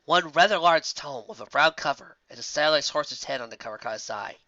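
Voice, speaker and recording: Animated male storybook narrator, bright voice. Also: fairly high pitch, brisk, dry recording, dull audio. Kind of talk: scripted fan fiction narration.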